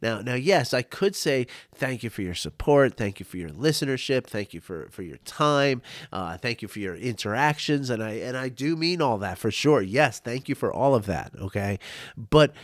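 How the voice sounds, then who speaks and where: A middle-aged man talks off the cuff as a podcast host, friendly and rambling, restarting his words as he goes. A cold leaves him nasal and stuffy, at a middling pitch for a man. The sound is dry and close. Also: no room sound. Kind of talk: casual impromptu monologue.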